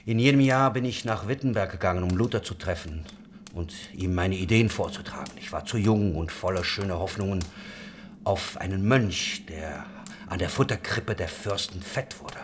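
A man's voice: deep voice, normal speed, noisy background, lightly acted. An actor playing a worldly, idealistic craftsman who leads a religious commune, musing and talkative, in a German historical radio drama. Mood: reflective.

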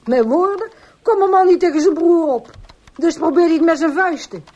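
High-voiced, distraught farmer's wife. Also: female voice, moderate pace, darkish tone, highs cut off. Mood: earnest, explaining. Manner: earnest, emotional storytelling. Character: distraught, pleading farmer's wife confessing all. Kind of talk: radio drama acting.

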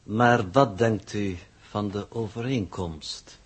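A man's voice: fairly low pitch, breathy edge. An actor playing a cold, unflappable impostor scientist in a 1960s radio drama, speaking formally and with smug superiority to his captors. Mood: smugly composed. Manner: formal, deliberate, smugly superior. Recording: muffled early 1960s radio recording.

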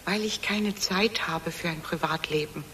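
A woman's voice: fairly low pitch, unhurried, fairly bright tone, slight background noise, poor audio. A woman playing a proud, defensive middle-aged career woman with a snappy manner in a radio drama.

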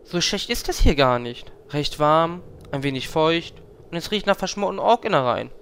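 A man's voice: a little high, lively intonation, normal speed, fairly bright tone, slight background noise. A man playing a quirky, contented oddball adventurer in a fantasy audio drama parody. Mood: cosy, pleased.

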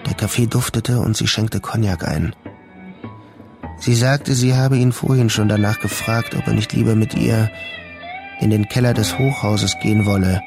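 A man narrating a literary radio drama in a measured, sober and deliberate way. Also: on the low side, fairly even intonation. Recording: music clearly behind the voice.

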